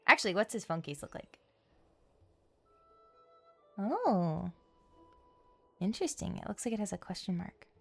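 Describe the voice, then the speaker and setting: A woman game streamer with a medium-pitched voice chats casually to her viewers. She rambles playfully and with animation while music plays behind her. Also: big pitch swings, measured pace, vocal fry, long pauses, sharp tone. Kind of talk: casual livestream chat. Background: background music.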